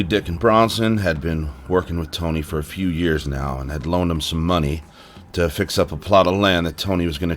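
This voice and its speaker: A man's voice: a little hiss or hum, natural voice. A man playing a weary, plain-spoken middle-aged police detective in an audio drama. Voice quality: deep voice. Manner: plain-spoken, blunt, emphatic. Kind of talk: naturalistic audio drama acting.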